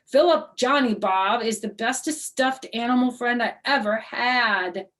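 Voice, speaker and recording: A woman voicing an excitable, indignant little girl with a blunt, bratty, emphatic way of talking. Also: fairly high pitch, a little slow, voice pushed up. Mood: annoyed. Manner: emphatic, bratty, childlike phrasing.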